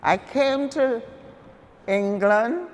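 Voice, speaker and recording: A woman in her eighties from Trinidad, telling her memories in an unhurried interview. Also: a little high, expressive, big-room sound, slight background noise.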